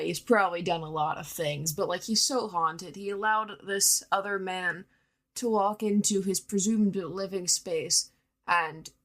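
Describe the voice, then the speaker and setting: Slow-talking woman rambling in a voice message. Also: medium pitch. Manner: hesitant, rambling, enthusiastic. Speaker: listener sending in a voice message.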